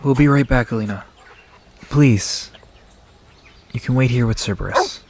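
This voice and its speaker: An actor playing a calm, gentle, peacemaking young leader in an audio drama. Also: mid-range voice, a little slow.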